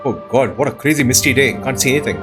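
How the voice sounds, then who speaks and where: A man's voice: medium pitch, fast. Man giving casual game commentary over music. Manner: thinks aloud, exclaims. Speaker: gamer hosting a playthrough.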